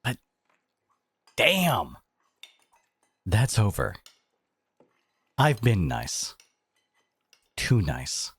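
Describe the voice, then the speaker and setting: A man playing a devoted, protective boyfriend in a dramatic roleplay audio, speaking emphatically with sarcastic edges and dramatic pauses. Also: on the low side, wildly swooping pitch, slightly rough, no echo, exaggerated voice. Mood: indignant, resolute.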